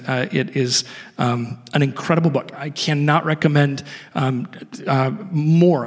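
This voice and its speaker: Man pastor stumbling thru a guest intro, lots of ums, long church echo. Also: medium pitch. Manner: halting, many fillers and restarts. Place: church sanctuary.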